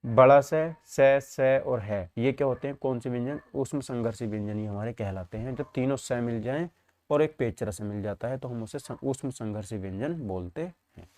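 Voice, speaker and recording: A man's voice: mid-range voice, normal speed, a little mellow. A man teaching an exam lesson, posing questions and answering them himself, often checking that students follow.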